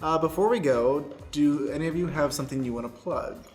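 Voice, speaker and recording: A man's voice: on the high side, brisk, darkish tone. Male podcast host over faint music. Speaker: host and narrator. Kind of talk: announcing and hosting an improvised podcast play.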